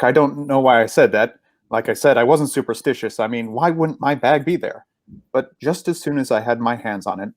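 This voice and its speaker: A man delivers a scripted ghost story with theatrical suspense. His voice sits at an ordinary male pitch, recorded very dry, with hardly any room around it. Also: no echo. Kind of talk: dramatic scripted narration.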